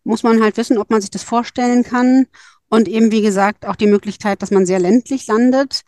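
A woman advising on school exchanges speaks at length and thinks aloud as she explains. Her voice sits at an ordinary pitch and sounds dry and close, with no room echo. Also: steady tone, fairly quick, darkish tone. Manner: thoughtful, explains at length with fillers. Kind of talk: informative podcast talk.

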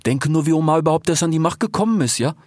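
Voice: medium pitch, lively intonation, racing, a little mellow, dry recording, studio quality, voice pushed up, close to an everyday voice. A man voicing a cautious, peace-minded male fennec fox in a storybook, pleading and adding little verbal tics. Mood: wary. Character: cautious, pacifist male fennec fox, haggling merchant type.

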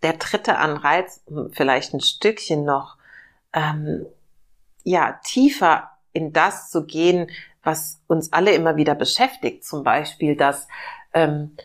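A woman host giving a reflective self-help talk, slightly hoarse from a cold, gentle and searching with many fillers. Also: low voice, slow pace. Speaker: podcast host.

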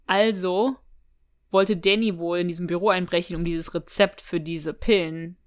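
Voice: medium pitch, steady tone, normal speed. A podcast co-host speaks in casual, everyday language. The delivery is tentative and conversational, thinking aloud while retelling an audio drama and quoting its characters.

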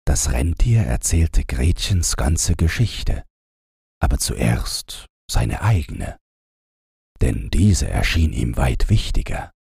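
Deep, very husky male fairytale narrator. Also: big pitch swings, slow pace, darkish tone, no echo, clean studio sound. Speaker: audiobook narrator. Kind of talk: scripted fairytale narration for bedtime.

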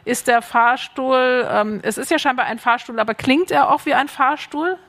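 Woman, panel moderator, asks long questions, slightly high voice. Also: normal speed, fairly bright tone. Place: stage at a festival panel.

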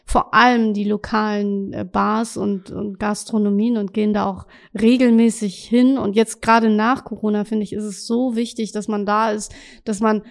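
A woman hosting a casual interview, asking friendly, quick questions. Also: medium pitch, level tone, vocal fry. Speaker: interview host.

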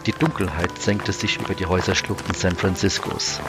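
A male narrator with a deep voice delivers scripted sci-fi drama narration. Clear music plays behind him. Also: steady tone, fairly quick.

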